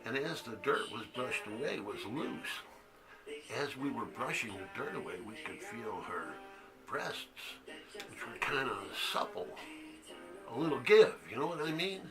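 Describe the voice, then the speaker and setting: Man acting a slow-talking, crude storyteller over music. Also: mid-range voice, very animated, poor audio. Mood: hushed, reverent. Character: crude, haunted man recounting a boyhood secret. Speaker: stage actor. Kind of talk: one-act monologue, storytelling.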